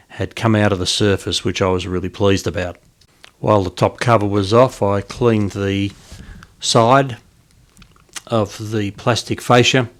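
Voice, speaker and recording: A middle-aged Australian man giving an informal narrated walkthrough as a hobbyist, explaining in a measured, hesitant way. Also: lowish voice. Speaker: hobbyist presenter.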